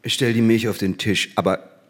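Low male voice, enthusiastic science teacher, declamatory, didactic, theatrical drama. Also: fairly even intonation, normal speed, breathy edge. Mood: instructive. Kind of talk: theatrical scripted performance.

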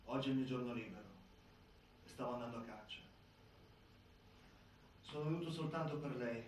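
A male actor plays a prison deputy commander of middling pitch. He is cool, curt and pressing, like an official interrogator in a stage drama. The voice is distant and strongly echoing. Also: brisk, darkish tone, close to an everyday voice. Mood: curt, probing. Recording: very echoey theatre, distant, faint noise.

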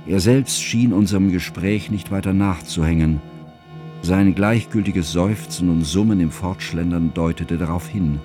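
An actor playing a sober, elegiac narrator who recalls a friend in a German radio drama, measured and precise in delivery. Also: male voice, sits low. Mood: sober, reflective. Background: instrumental music.

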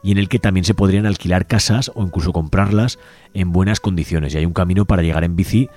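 A man presenting a community radio show, reading out a manifesto and describing a project in a measured, slightly halting way. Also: low voice, steady tone, a little mellow. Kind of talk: community radio presentation, partly read aloud.

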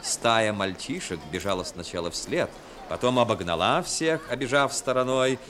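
Мужчина-рассказчик выразительно читает художественный текст в манере радиоспектакля 1960-х. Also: male voice, medium pitch, animated, normal speed, fairly bright tone, slight background noise. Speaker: narrator. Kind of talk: scripted dramatic storytelling, 1960s radio. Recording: 1960s radio with music behind. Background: music.

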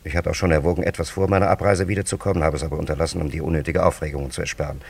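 An actor playing a dignified, pleasure-loving time traveller from an ancient land in a radio drama, with a gravelly, husky voice and lofty, formal diction. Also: male voice, extremely low pitch, fairly even intonation, very fast, darkish tone, heavily acted. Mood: lofty, self-satisfied. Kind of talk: German radio drama acting.

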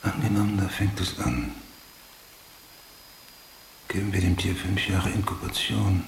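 An actor playing an obsessive, brooding police detective in a German radio drama, speaking in a clipped mutter that drifts toward a whisper. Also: male voice, sits low, little pitch movement, unhurried, gravelly. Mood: obsessive, analytical. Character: obsessive, brooding male police detective hunting a serial killer. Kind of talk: German radio drama acting, interior monologue. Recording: roomy, faint hiss.

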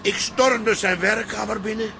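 A man's voice: high-pitched, steady tone, measured pace, vocal fry, background noise, heavily acted. An actor playing an indignant, boastful old activist father in a radio drama, declaiming self-importantly. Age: older.

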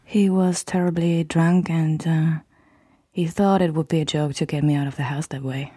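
A woman acting in a radio play, speaking in a hesitant, conversational murmur with a husky voice. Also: sits low, steady tone, warm tone, no room sound, studio quality. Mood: hesitant, evasive. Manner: hesitant, halting.

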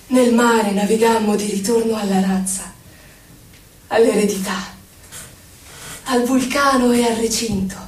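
A woman recites poetry in a measured, solemn manner, phrase by phrase. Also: mid-range voice, a little hiss or hum. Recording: echoey, distant from the microphone.

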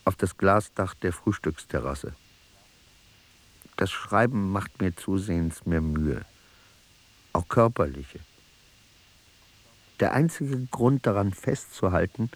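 Radio drama: ailing archaeologist reading his notebooks, deep voice, slow brooding delivery. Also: a little mellow, no echo. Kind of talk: literary radio play narration.

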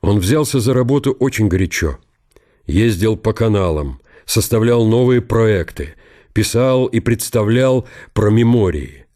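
A man's voice: lowish voice, animated, measured pace, mellow. A man narrating a historical radio drama in a dramatised literary style.

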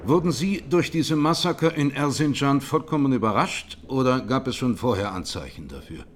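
Actor as formal, methodical presiding judge. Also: male voice, mid-range voice, expressive, lightly acted. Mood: formal, neutral. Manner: formal, measured, probing. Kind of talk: radio drama courtroom questioning.

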